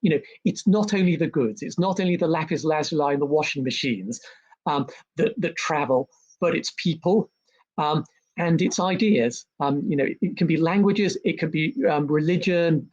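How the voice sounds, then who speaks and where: A man with a somewhat high voice talks through history in an interview, full of ums and restarts that break his sentences up. The sound is a little dull and muffled. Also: moderate pace, a little mellow. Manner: hesitant, many ums and restarts. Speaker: historian and author, interview guest. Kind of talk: conversational interview about history.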